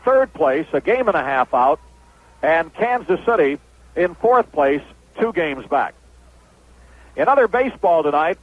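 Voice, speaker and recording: A man's voice: high voice, dry recording. A man working as a baseball announcer, giving a 1970s radio wrap-up of scores and sponsor credits. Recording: dull lo-fi 1970s radio broadcast.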